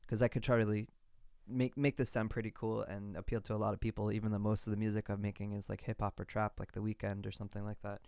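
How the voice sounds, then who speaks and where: A man's voice: on the low side, little pitch movement, quick pace, hardly any pauses, darkish tone, smooth, dry recording. A man chatting casually on a livestream while producing music, thinking aloud in an informal way. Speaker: music producer live streaming.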